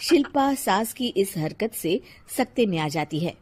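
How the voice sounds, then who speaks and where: A woman narrating a cartoon story in a soft, even storytelling delivery. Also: mid-range voice, measured pace, very noisy.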